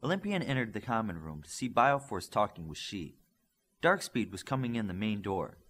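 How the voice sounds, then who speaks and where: A man narrates a superhero audiobook in a husky voice. His pitch moves around a lot as he reads scripted fiction expressively and shifts into the characters' dialogue. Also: normal speed. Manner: expressive reading of superhero story.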